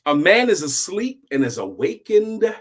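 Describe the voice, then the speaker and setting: Husky man, animated storyteller. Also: high voice. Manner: emphatic, with dramatic pauses and hushed drops. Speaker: storyteller host. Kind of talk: dramatic, deliberate oral storytelling with a moral.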